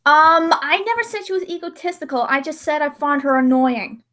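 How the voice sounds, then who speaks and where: A woman with a high-pitched voice hosts a casual livestream. She talks in a conversational way, often stopping and restarting a phrase before she gets to the end of it. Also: lively intonation, brisk, pushed and bright. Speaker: livestream host.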